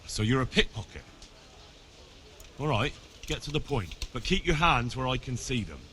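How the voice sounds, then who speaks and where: An actor playing a plucky, blunt young blacksmith's lad in a video game. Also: male voice, mid-range voice, very animated, normal speed, bright, forceful tone, a little hiss or hum. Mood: wary, blunt. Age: young adult. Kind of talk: video game dialogue acting.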